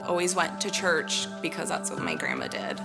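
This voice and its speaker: A woman's voice: low-pitched, wildly swooping pitch, normal speed, creaky. A woman in her twenties, a single mother, telling her faith story candidly and from the heart. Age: 20s. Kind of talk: testimony interview. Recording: loud music underneath.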